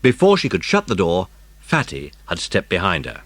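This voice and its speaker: A man's voice: deep voice, huge pitch swings, moderate pace, a bit bright, no room sound. A man reading scripted narration of a children's mystery story as an audiobook narrator.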